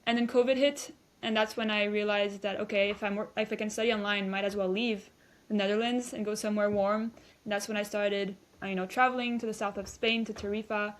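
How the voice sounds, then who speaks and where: A woman's voice: medium pitch, steady tone, fairly quick. A woman in her twenties from Belgium, a guest chatting casually with hesitant restarts and fillers. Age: 20s. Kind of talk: casual interview chat.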